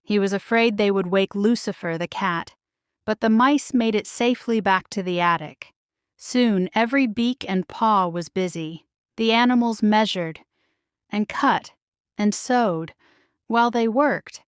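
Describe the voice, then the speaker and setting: Female children's storybook narrator, smooth and measured, very unhurried delivery. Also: mid-range voice, no room sound, clean studio sound. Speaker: storybook reader.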